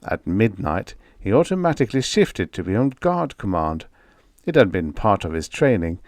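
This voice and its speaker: A man reading a vintage science fiction story aloud as an audiobook narrator, in a measured storytelling delivery. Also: on the low side, dry recording, clean studio sound.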